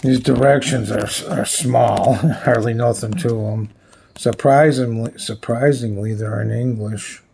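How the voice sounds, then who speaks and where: A man giving a casual, unscripted product walkthrough as a reviewer, plainspoken and halting as he thinks aloud. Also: mid-range voice, fairly even intonation, a little mellow, slight background noise, poor audio. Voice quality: gravelly, husky. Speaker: radio reviewer presenting his channel.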